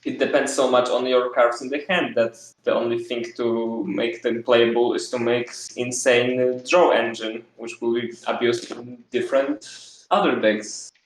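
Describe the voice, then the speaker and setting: A man's voice: medium pitch, fairly even intonation, moderate pace, echoey room, rough recording. A man giving his opinions in a casual card game discussion on a group voice chat. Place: online group voice call.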